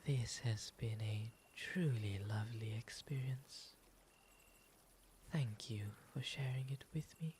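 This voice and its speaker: A man voicing a gentle, refined owl demon in an ASMR roleplay, with soft, breathy, soothing, formally polite speech. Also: medium pitch, close to an everyday voice. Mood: fond, courteous. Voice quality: soft, breathy, often whispery. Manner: soothing, genteel, unhurried formal phrasing. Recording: noticeable ambient background noise.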